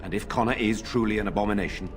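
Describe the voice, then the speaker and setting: A man voicing a noble lord in a video game, formal and measured in the way he speaks. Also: fairly low pitch, noisy background, natural voice. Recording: clear game music behind.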